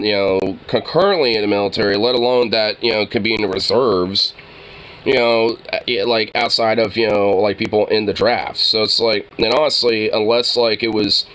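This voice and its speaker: A man's voice: on the low side, fairly bright tone, rough recording. A man co-hosting a casual reaction chat, rambling with constant "you know"s and casual swearing. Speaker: co-host reacting to a video. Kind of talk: casual, profane banter.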